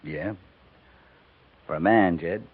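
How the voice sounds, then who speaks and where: An actor playing a steady, gruffly kind, blunt cavalry captain with an American accent and a terse, measured delivery in a 1950s radio western. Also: male voice, sits low, heavily acted. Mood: kindly. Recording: narrow, tinny 1950s radio recording.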